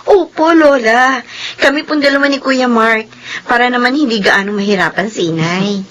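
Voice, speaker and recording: Woman playing a gentle, high-voiced Filipino daughter. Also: moderate pace, natural voice. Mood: dutiful. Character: gentle, forgiving young daughter and sister, female. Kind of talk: Filipino radio drama acting.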